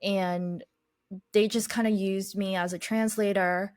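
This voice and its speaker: A woman guest telling her life story in an interview, reflective and unhurried, whose first language is Chinese. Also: medium pitch, fairly even intonation, darkish tone, no room sound, clean studio sound. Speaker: podcast guest, life coach.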